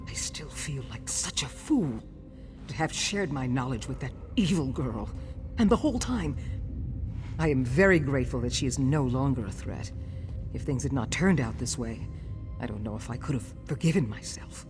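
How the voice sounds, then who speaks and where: Fantasy game scholar, acted: medium pitch, earnest, regretful, formal, score prominent underneath. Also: expressive, moderate pace, close to an everyday voice. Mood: remorseful, relieved. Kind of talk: scripted game dialogue. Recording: loud music behind the voice. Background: game music.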